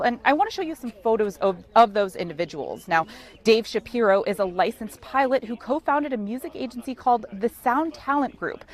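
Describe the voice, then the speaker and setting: American woman reporting live news, conversational delivery. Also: mid-range voice.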